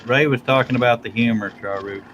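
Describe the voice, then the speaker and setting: A man's voice: mid-range voice, steady tone, brisk, fairly bright tone, a little hiss or hum. A man co-hosting a live stream, reading out viewer comments and dropping dry, teasing one-liners. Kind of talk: casual live-stream chat.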